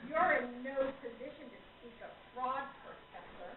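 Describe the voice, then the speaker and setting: A woman's voice: high-pitched, lively intonation, moderate pace. Woman playing wily spirit medium, declamatory radio play style, heavily echoing room, tinny distant sound. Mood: indignant accusing. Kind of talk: stage radio play acting. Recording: echoey, noisy, tinny, far from mic.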